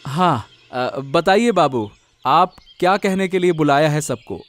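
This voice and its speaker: A man voicing an eager, kind young village son in a cartoon, excitable and prone to stammering. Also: on the high side, a little slow, faint music behind. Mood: earnest. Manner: excitable, stammers when flustered. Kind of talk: Hindi cartoon dubbing.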